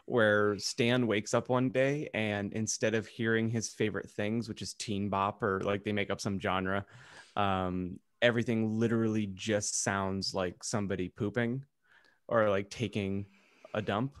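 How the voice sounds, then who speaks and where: A low-pitched man in casual podcast conversation, recorded dry and close. He rambles through his opinions with jokey, crude asides. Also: fairly even intonation, a little slow, no room sound. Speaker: podcast co-host. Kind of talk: casual group banter and opinion.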